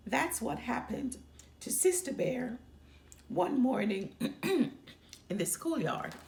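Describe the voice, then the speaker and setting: A woman reads a children's storybook aloud in a highly animated, expressive way. Her delivery is slow and deliberate, with some creak in the voice. The room adds a noticeable echo. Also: slight background noise. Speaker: storytime host reading aloud. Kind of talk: children's picture book read-aloud.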